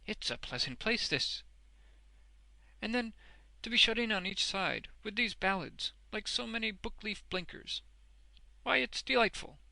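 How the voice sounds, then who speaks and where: A man's voice: high voice, expressive, normal speed, gravelly, bright, forceful tone, dry recording, pitched above the performer's own voice, exaggerated voice. A man voicing a hearty, uneducated old working man in a storybook, blunt and exuberant, with emphatic, ungrammatical speech. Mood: delighted.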